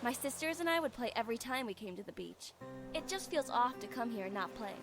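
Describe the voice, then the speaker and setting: A woman's voice: fairly high pitch, lively intonation, fast, over music. An actress playing a reserved, slightly prickly young woman in a visual novel game. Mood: wistful.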